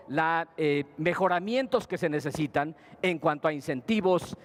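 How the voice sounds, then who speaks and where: A man delivering a formal conference speech in a steady, measured way, with a few brief hesitations. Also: a little high. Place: conference hall panel.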